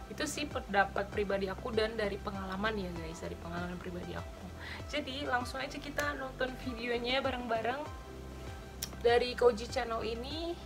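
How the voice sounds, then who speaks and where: A chatty young woman from Indonesia talks straight to her viewers, sprinkling in "guys" as she reacts to a clip. She sits close to a desk webcam in a small bedroom, and music plays clearly underneath her voice. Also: medium pitch. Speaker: reaction vlogger. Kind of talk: casual chat. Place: small bedroom with shelves, indoors.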